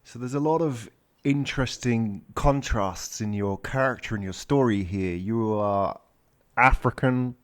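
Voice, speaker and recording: A man's voice: medium pitch, unhurried. Male podcast host, friendly interviewer, leading questions. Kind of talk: interviewing an entrepreneur.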